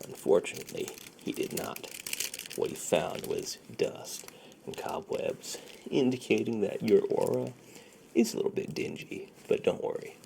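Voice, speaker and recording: A man doing a soft-spoken, half-whispered ASMR roleplay in a soothing, reassuring manner, murmuring and repeating himself close to a sensitive microphone. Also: fairly low pitch, animated, a little slow, vocal fry, a little hiss or hum. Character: friendly shady dealer treating a client's tingle immunity. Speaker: ASMR performer.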